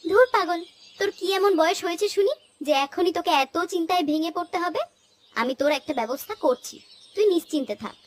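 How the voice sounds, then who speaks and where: A woman's voice: extremely high pitch, fast talker. A woman playing a kind, protective village aunt in a moral-story cartoon, fussing and scolding affectionately. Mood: caring. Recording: music playing behind the voice.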